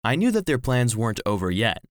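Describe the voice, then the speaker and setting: A man's voice: medium pitch, big pitch swings, brisk, no room sound, studio quality, close to an everyday voice. A man playing a small, devoted household cleaning robot, narrating a children's audio drama earnestly. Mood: earnest, reflective. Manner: earnest storytelling.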